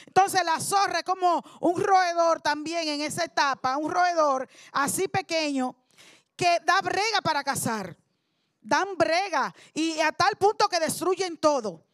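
A woman's voice: extremely high pitch, measured pace, a bit bright, no echo, studio quality. A woman preacher delivering a sermon, emphatic and repeating key words for effect.

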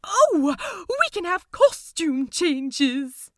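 A woman voicing a prim, sweet, bookish gentleman who dotes on his partner, fussy and polite, in an audio fiction drama. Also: very high voice, sing-song, extremely slow, dry recording, clean studio sound, heavily acted. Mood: delighted. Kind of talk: amateur audio fiction acting.

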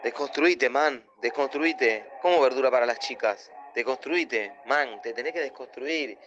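A man's voice: mid-range voice, a little slow, a bit bright, soft background music, natural voice. A man playing an earnest, preachy Argentine vegetarian in a comic radio drama, lecturing repetitively. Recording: dull, lo-fi audio.

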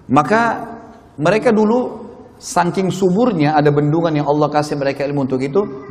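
A man's voice: on the high side, a little mellow. A man giving a religious history lecture to a congregation as an Islamic preacher, explaining step by step and often checking that listeners follow. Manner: explanatory, addresses listeners, checks understanding with short tags. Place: mosque prayer hall. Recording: reverberant hall with long echo.

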